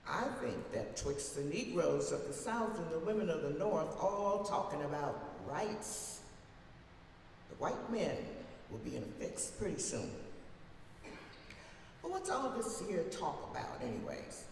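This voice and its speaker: Woman, theatrical reciter of a defiant old-time oration, slow and sweeping in pitch, distant in a boomy hall. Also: very animated, darkish tone. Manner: emphatic, theatrical, with long deliberate pauses. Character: formerly enslaved older woman orator, plain-spoken, defiant, wry. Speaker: reader performing a historical speech. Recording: very echoey hall from far off, faint noise.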